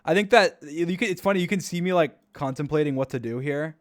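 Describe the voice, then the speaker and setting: A man's voice: fairly high pitch, fast. Rambling, enthusiastic male streamer. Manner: rambling, repeats himself, enthusiastic. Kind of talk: casual stream commentary.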